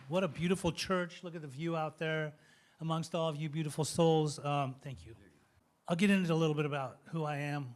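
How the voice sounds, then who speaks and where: A man from Utah speaking as a guest at a church recovery meeting. He tells his life story to the audience in a calm, measured way. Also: on the high side. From Utah, USA. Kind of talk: personal testimony to an audience. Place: church hall, speaking from a stage.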